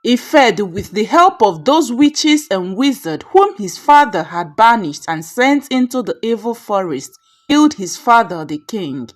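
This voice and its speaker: A woman with a Nigerian accent narrating a scripted folktale in a dramatic, measured storytelling manner. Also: a little high, big pitch swings.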